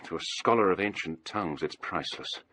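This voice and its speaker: Bright-voiced, pedantic young British scholar. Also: male voice, low-pitched, lively intonation, a little fast, no echo, full character voice. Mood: absorbed, confident. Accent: British English. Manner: pedantic, precise. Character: Monty, scholarly, self-assured, fussy young gentleman. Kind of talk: British radio drama acting.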